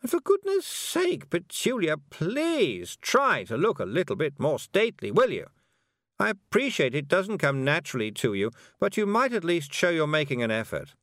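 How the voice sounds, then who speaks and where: A man voicing a haughty, bossy teenage girl who is a witch student, condescending and sharp. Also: on the high side, wildly swooping pitch, moderate pace, no echo, studio quality, voice pushed up. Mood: scornful.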